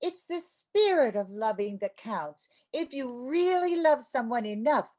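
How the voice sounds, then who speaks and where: A woman's voice: on the high side, lively intonation, unhurried, darkish tone. A woman playing a playful, mushy housewife in an old-time radio comedy, with a coaxing, theatrical delivery. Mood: wounded, romantic.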